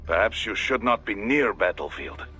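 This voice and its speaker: A man voicing a grave, formal older mentor in a video game, speaking in a measured, disapproving manner. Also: lowish voice, expressive, sharp tone. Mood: grave, concerned. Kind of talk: dramatic video game voice acting. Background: music.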